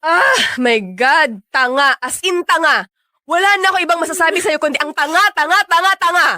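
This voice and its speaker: A sassy young woman tells hard truths to her friend. Her voice is very high and cutting, emphatic and exasperated. It is recorded close and dry. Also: fairly quick, sharp tone, no echo. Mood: exasperated, blunt. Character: blunt, scolding young female friend, exasperated truth-teller. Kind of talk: Tagalog radio drama acting.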